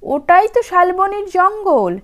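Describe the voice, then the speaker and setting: Storybook mother elephant, played by a woman: high, emphatic, motherly, chiding yet caring, lively intonation. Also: slow pace, full character voice. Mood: reassuring. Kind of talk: children's audio storybook character.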